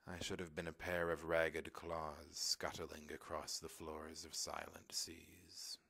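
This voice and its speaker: A man reading a poem aloud in a measured, expressive dramatic recitation, with phrases that often trail off quietly. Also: rumbling low, creaky, slightly rough. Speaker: poetry reader.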